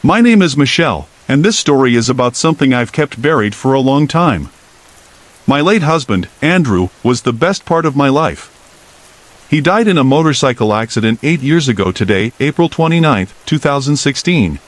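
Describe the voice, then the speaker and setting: A man narrating first-person horror stories in a calm scripted reading, with steady rain behind him. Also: medium pitch, normal speed.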